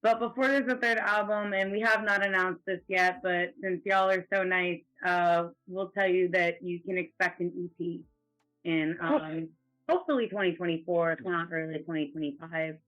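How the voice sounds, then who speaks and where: A man with a natural rasp, a band's co-founder and singer, chatting animatedly and emphatically in an interview. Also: sits high, little pitch movement, normal speed, sharp tone, faint music behind, low-quality recording. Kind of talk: casual interview chat.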